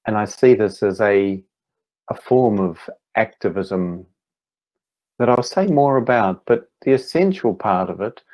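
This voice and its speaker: A man in his fifties with a New Zealand accent, giving an online talk as a meditation teacher, speaking slowly and deliberately with gentle pauses. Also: fairly low pitch, lively intonation, poor audio. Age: 50s. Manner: slow, deliberate, gently pausing. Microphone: video call.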